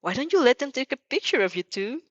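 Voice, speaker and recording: A woman's voice: high voice, sing-song, fast talker, no echo, highs cut off, pitched above the performer's own voice. A woman voicing a teasing, gleeful troublemaker heroine in a fan fiction story, squealing and gushing. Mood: teasing delight.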